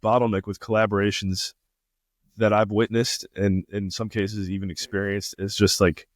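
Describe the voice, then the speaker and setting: Relaxed, deep-ish male podcast host. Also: moderate pace, no echo, clean studio sound. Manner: laid-back, filler-heavy, laughs easily. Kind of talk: casual interview talk. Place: Toronto.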